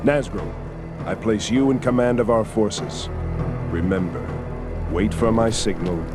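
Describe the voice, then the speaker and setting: A man playing a brave young orc hero in an animated adventure game, commanding and wry. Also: lowish voice, measured pace, natural voice. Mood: resolute. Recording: music behind the voice.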